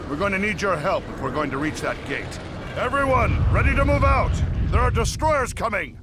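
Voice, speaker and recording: A man playing a gruff, commanding dwarf warrior leader in a video game cutscene. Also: sits high, animated. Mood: urgent, commanding. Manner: brisk, commanding. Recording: loud music behind voice.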